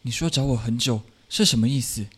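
A man's voice: medium pitch, a little fast, breathy edge, close to an everyday voice. An actor playing a guarded, bewildered young half-blood man in a Chinese audio drama, with terse, wary delivery. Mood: confused.

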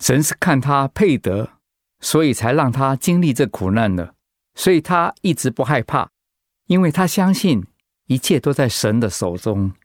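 A man's voice: mid-range voice, big pitch swings, moderate pace, dry recording, studio quality, natural voice. A man acting in a radio drama as a humble, anguished pastor and devoted husband, speaking in a heartfelt, sighing way. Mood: humbled, anguished.

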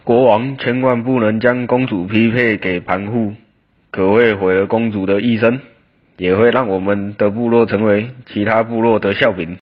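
A man's voice: fairly low pitch, fairly even intonation, normal speed, natural voice. A young man with a Taiwanese Mandarin accent playing a formal court minister in a student radio drama. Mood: alarmed, warning. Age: young adult. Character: protesting, formal royal court minister, male. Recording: dull lo-fi audio, music bed.

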